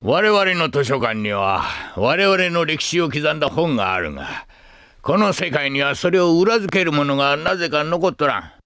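An actor playing a wise, accepting old man in an anime-style game, with folksy, deliberate elderly speech. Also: fairly high pitch, big pitch swings, sharp tone, no room sound, clean studio sound, exaggerated voice. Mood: reflective, resigned. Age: older. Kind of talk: anime video game voice acting.

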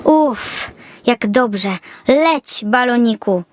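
A woman's voice: a little high, expressive, drawn-out words, dry recording, voice pushed up. A woman playing a small, grumpy, nervous male Christmas elf who frets and grumbles in a children's audio fairy tale. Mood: relieved. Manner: fretful, grumbling, exclaiming.

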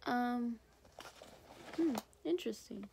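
Hoarse teen girl filming a video diary. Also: slow pace, noisy background. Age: teens. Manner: hesitant, many ums, soft and low-key. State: hoarse, sick. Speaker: high school student. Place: bedroom indoors. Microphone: phone or laptop camera at arm's length.

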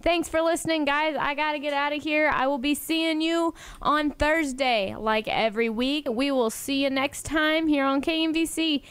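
A woman's voice: high-pitched, fairly even intonation, moderate pace, few pauses, a bit bright. A young adult American woman presenting casually on college radio, chatting informally to her listeners.

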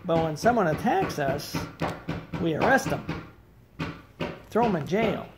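A man's voice: fairly high pitch, animated, measured pace. A man voicing an exasperated police officer puppet, whiny and scolding, in an improvised puppet show. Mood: scolding, teasing. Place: living room with high ceiling. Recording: noisy home phone recording with clicks.

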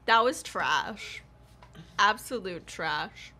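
A woman livestreamer giving casual, animated, slangy commentary while playing a game. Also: medium pitch, extremely slow. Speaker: gaming livestreamer. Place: small indoor room at home.

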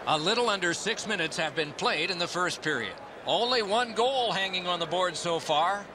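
A male play-by-play announcer calling a hockey game in brisk, clipped broadcast phrases. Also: high voice, lively intonation. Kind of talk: sports broadcast commentary. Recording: game audio under loud arena crowd noise.

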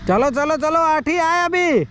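A man with a very high, bouncy voice shouts encouragement and instructions across an outdoor cricket ground. The field recording is noisy, with traffic and ambience clearly in the background. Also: a little slow. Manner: excitable, calls out instructions to teammates. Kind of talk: shouted on-field calls and banter.

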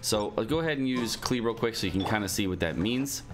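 Young man, deep voice, casual game streamer, slangy talk, noticeable background noise. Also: expressive, fast talker. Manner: informal, slangy, swearing. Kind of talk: casual gaming news commentary. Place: indoor home streaming setup.

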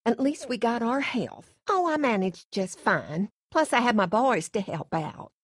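A woman's voice: a little high, expressive, a little slow, no echo, studio quality. A woman playing a middle-aged Southern farm mother from a video game, with folksy, effusive hospitality and a controlling streak. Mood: kindly. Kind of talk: video game character acting.

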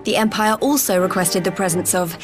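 Female actor as spirited video game rebel leader: earnest, direct, medium pitch. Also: fairly quick, faint music behind, close to an everyday voice. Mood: encouraging. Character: determined young woman, resistance leader, frank and spirited.

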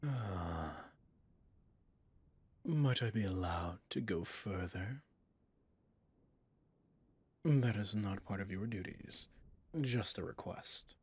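A man playing a suave, possessive villain boss in an intimate ASMR roleplay, speaking in a courtly, seductive way with a breathy, husky voice. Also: deep voice, slow, pauses often, darkish tone, dry recording, heavily acted. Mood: gentle, courteous. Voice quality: breathy, husky, often near a whisper.

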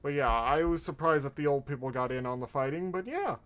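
A man's voice: medium pitch, quick pace, fairly bright tone. A man hosting a reaction stream, giving casual, exclamatory commentary as he watches.

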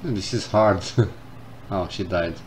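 A man commenting casually on a game as he plays, reading the game text aloud and thinking out loud. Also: sits low, slow, a little hiss or hum. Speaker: gameplay streamer. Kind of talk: casual let's-play commentary. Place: indoors at a computer. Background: game music.

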